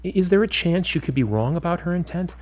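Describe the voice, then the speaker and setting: Man playing a measured therapist with lively intonation. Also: high voice. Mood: encouraging, instructive. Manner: measured, instructive, questioning. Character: calm, firm, probing male therapist, a doctor. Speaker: actor in an audio drama. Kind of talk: scripted audio drama dialogue.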